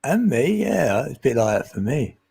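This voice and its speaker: A man's voice: medium pitch, big pitch swings, normal speed, vocal fry, mellow, slightly rough. A man in his late forties chatting casually on a live stream, laid-back and easygoing with frequent chuckles. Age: 40s.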